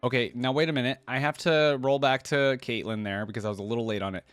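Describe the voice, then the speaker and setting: Very low-voiced woman, musing casually. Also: fairly even intonation, quick pace, no echo. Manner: musing, inquisitive, often checking with "right?". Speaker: reaction video host. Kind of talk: casual reaction commentary on game voice lines.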